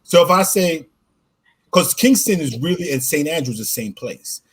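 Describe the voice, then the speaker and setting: A man's voice: fairly high pitch, expressive, normal speed, slightly rough. A man born in Jamaica and raised in the US, talking loosely in a casual interview with lots of "you know" and "right?". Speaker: podcast co-host being interviewed.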